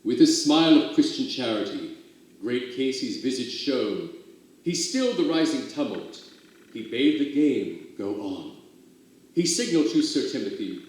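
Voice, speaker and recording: Theatrical man reciting verse in an echoey room. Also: mid-range voice. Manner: theatrical, expressive storytelling with drawn-out emphasis. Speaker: reader of poetry for a theater company. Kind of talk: dramatic poetry recital. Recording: very echoey, roomy sound.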